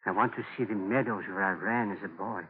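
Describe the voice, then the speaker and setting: A man's voice: on the low side, brisk, breathy edge. Solemn, homesick Native man, old radio. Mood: wistful. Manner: solemn, simple formal phrasing. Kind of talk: 1940s radio drama acting. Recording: thin, narrow-band 1940s radio broadcast.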